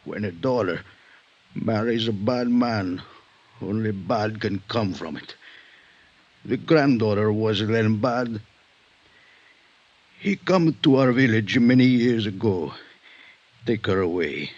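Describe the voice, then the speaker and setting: An actor playing a vengeful, stubborn old Italian patriarch in a 1950s radio drama, speaking halting, brooding, accented English. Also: male voice, lowish voice, lively intonation. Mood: cold, vengeful. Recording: dull vintage 1950s radio recording.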